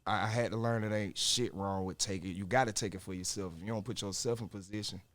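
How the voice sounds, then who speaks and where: Low-voiced rapper, dry close mic. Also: male voice, quick pace, dry recording. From Augusta, Georgia, US. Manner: relaxed, rambling, slangy with fillers like "like" and "you feel me". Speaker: rapper guest. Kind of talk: casual interview chat.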